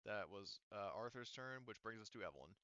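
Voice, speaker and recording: Male game master, bright clean voice, close dry sound, loose and sweary casual narration. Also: lowish voice, expressive, fairly quick, dry recording, dull audio. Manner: informal, profane.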